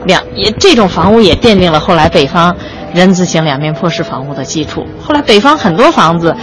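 A woman's voice: fairly low pitch, lively intonation, brisk, over music. A woman giving an unscripted guided tour, explaining things step by step as she points them out. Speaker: museum guide. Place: on location at an exhibition site. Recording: clearly noisy on-site ambience.

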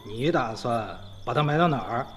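A man's voice: medium pitch, expressive, normal speed, fairly bright tone, faint music behind, close to an everyday voice. A man acting a brash, hot-tempered small-time crook in a radio drama, snappy, scornful and crude. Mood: wary.

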